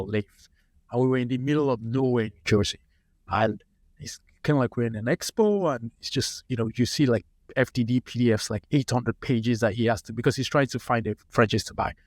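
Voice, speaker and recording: A man in his late thirties from Nigeria, telling relaxed stories as a founder in a casual podcast interview. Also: mid-range voice, fairly quick, dry recording. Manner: relaxed anecdotal storyteller. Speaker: startup founder, guest.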